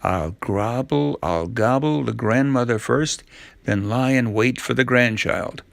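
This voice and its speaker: A man voicing a sly storybook wolf, gruff and growling, with a falsely polite, menacing manner. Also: mid-range voice, measured pace, dry recording. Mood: menacing, scheming.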